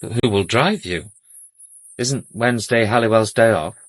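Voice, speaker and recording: A man acting a well-bred gentleman in a radio drama. His voice is low and husky, recorded dry. He speaks politely and formally, guarded and a little defensive. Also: moderate pace, no room sound, close to an everyday voice. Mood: polite concern. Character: smooth-mannered, defensive upper-class male family associate.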